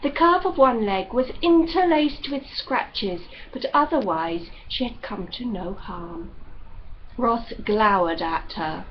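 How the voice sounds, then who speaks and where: A woman reading a novel aloud as expressive literary narration. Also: medium pitch, takes it slowly, muffled recording, slight background noise. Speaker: audiobook reader.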